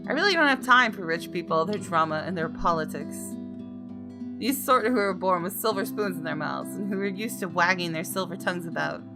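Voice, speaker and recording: Low-voiced woman game streamer over music. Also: lively intonation, brisk. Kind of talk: let's play, reading game text aloud with exclamations. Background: game music with guitar.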